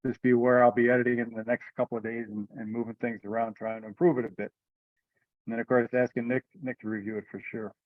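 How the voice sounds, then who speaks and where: A man runs an informal online meeting, talking in a relaxed, colloquial way at a medium pitch. The sound is dull and lo-fi, like a dry conference call with very little room. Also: fast, no echo. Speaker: meeting chair.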